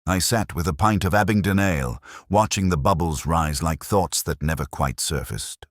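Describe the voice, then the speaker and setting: A man narrating a literary detective story in the first person as a world-weary inspector, measured, reflective and melancholy. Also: sits low, no room sound, studio quality.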